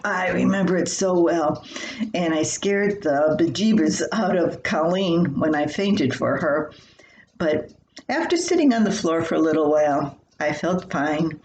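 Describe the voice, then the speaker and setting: An older woman with a fairly low voice reads a personal column aloud like a folksy storyteller. Her delivery is expressive and homespun. The recording is quite roomy and echoey, with faint noise. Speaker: columnist reading her own writing. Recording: roomy echo with faint hiss.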